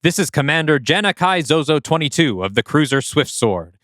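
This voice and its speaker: A man voicing a stern military commander giving a crisp briefing to troops. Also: fairly high pitch, expressive, normal speed, a bit bright, dry recording, clean studio sound. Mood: commanding. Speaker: podcast co-host. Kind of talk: reading a script aloud with character voices.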